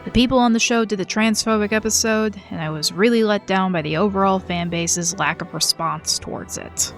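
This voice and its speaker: A woman's voice: mid-range voice, fairly quick, soft background music. Earnest woman narrating a video essay.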